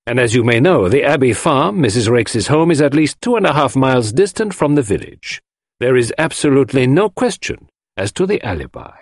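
A man voicing a theatrical, commanding detective, formal and deliberate, in an audiobook. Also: mid-range voice, big pitch swings, no room sound, studio quality, voice pushed up. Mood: declaratory. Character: theatrical Belgian male detective, precise, commanding, dramatic. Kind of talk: audiobook character voice.

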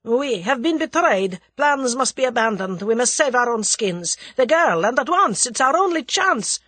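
A woman's voice: mid-range voice, expressive, moderate pace, fairly bright tone, breathy edge, no room sound, pitched above the performer's own voice. A woman voicing a frightened, bitter Russian man in an audiobook, speaking with vehement, snarling urgency. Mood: tense, urgent.

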